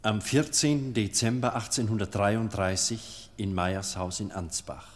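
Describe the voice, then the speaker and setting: A man's voice: lowish voice, a little slow, lightly acted. A man narrating scene changes in a German radio play as a solemn announcer, with long reverb on his voice.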